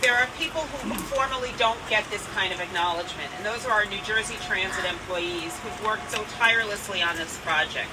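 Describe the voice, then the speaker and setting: A woman's voice: mid-range voice, brisk, hardly any pauses. Woman, event host, formal announcements, distant mic, very noisy outdoor surroundings. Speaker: master of ceremonies introducing speakers. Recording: distant mic, loud outdoor street noise.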